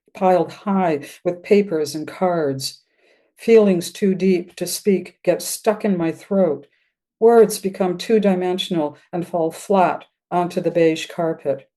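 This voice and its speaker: An older woman reads a literary piece aloud with measured, plain narration. Her voice is very mellow and a little low, and she takes her time over the phrases at a slow pace. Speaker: writer reading own work. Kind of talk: literary prose-poem reading.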